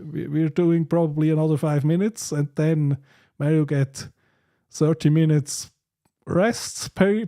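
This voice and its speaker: A man hosting a casual livestream chat, speaking in halting, hesitant phrases. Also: fairly high pitch, warm tone. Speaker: livestream host.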